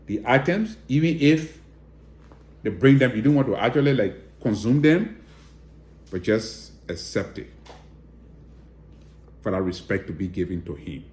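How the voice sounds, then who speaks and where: A man's voice: on the low side, expressive, a little slow, pauses often, a little mellow, roomy sound. A man with a Liberian accent giving direct, emphatic political commentary and appealing to viewers. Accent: Liberian English.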